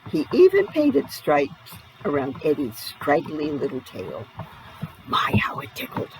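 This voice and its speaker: A woman's voice: low-pitched, huge pitch swings, measured pace, noisy background. A woman narrating a children's storybook expressively, with a dramatic read-aloud delivery. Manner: dramatic, asks the listener questions. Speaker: storybook reader.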